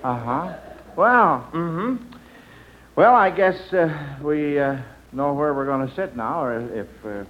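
A man with a slightly high voice hosts a children's radio quiz in a folksy, halting way. He leans on small interjections as he moves along. The sound is muffled, like an old broadcast. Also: lively intonation. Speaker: quiz show host. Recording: dull vintage radio broadcast.

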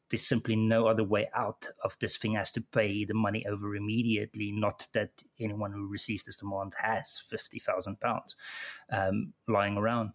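A middle-aged man with a South African accent, telling his own story in an interview, halting and often repeating words as he restarts. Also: on the low side. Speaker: interview guest. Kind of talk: personal account in conversation.

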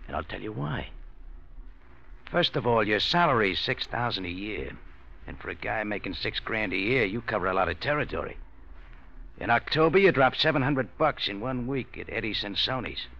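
An American actor playing a ruthless, smug gangster in a 1940s radio crime drama, gravelly, slangy and menacing. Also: male voice, lowish voice, lively intonation, normal speed, sharp tone, exaggerated voice. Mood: sly, menacing. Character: ruthless, smug male gangster making a shakedown. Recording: muffled vintage radio recording.

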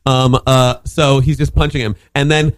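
A man's voice: medium pitch, flat delivery, normal speed, no room sound. Rambling male storyteller, dry close mic. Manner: rambling with many fillers and restarts. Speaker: podcast co-host telling a story. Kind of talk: casual storytelling chat.

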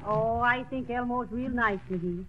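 A woman's voice: fairly high pitch, measured pace. A woman speaking cheerfully and sweetly chiding in a 1930s radio comedy. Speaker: comedy show co-lead. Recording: dull 1930s radio recording.

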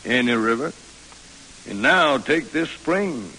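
Lively, folksy western trail guide. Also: male voice, medium pitch, slow pace, slight background noise, heavily acted, poor audio. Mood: musing. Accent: American. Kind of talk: old-time radio drama acting. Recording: old radio recording, faint music.

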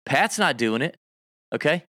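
A man co-hosting a casual golf betting livestream, talking in an animated, emphatic way and piling on stats. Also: a little high, unhurried, no echo, studio quality.

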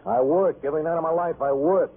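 Bitter night-shift worker, American, husky, short evasive phrases, old radio crime drama, tinny sound. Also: male voice, a little high, quick pace. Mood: bitter, weary. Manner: flat sardonic evasions in short broken phrases. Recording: tinny, band-limited vintage radio recording.

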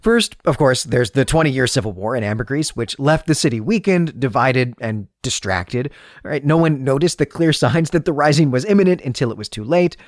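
A man presents a book podcast in an explanatory, semi-scripted but conversational style. His medium-pitched voice moves up and down in a very animated way, with emphatic phrasing. The recording is close and dry. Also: dry recording. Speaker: podcast host and historian.

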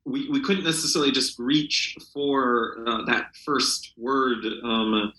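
Man, bright forceful tone, hesitant delivery, unscripted interview, echoing room. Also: medium pitch. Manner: halting, hesitant, searching for words. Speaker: literary translator, interview guest.

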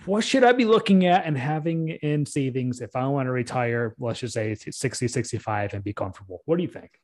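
A man's voice: medium pitch, fairly quick. Casual man in his late thirties asking questions. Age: 30s. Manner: casual, relaxed, asks questions with hypotheticals. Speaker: podcast host. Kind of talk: conversational podcast interview.

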